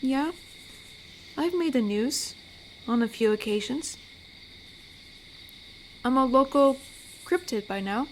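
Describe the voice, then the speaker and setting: An amiable werewolf woman, played by a voice actress in an intimate audio roleplay, speaking in a fairly high voice at an unhurried pace. She is a chatty, slightly awkward forest guardian with a gentle way about her. Crickets and night sounds sit faintly behind her. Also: a little hiss or hum. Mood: wry, chatty.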